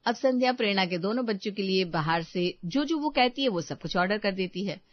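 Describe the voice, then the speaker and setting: A woman's voice: mid-range voice, lively intonation, brisk, dry recording, highs cut off. A woman reading a scripted moral story as its narrator.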